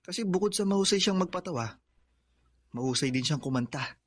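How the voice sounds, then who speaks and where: A supportive young Filipino man in a radio drama. His voice sits a little high, and he talks in a plain, sympathetic way as a loyal friend. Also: animated, fairly quick. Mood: concerned.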